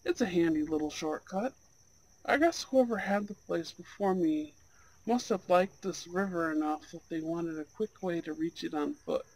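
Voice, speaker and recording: A performer voicing a charming, soft-spoken young Goth gentleman on a blind date, in an ASMR roleplay with gentle, courteous, slightly old-fashioned phrasing. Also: mid-range voice, moderate pace, close to an everyday voice, low-quality recording. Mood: admiring, inviting. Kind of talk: ASMR roleplay, speaking to an unheard listener.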